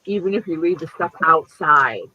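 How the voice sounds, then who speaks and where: A man in his late fifties with a high voice chats loosely, swearing freely and leaping up in pitch. The sound is dull and lo-fi, like a home stream. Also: moderate pace, noisy background. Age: 50s. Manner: chatty and profane, lively pitch jumps. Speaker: host. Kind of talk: casual chat.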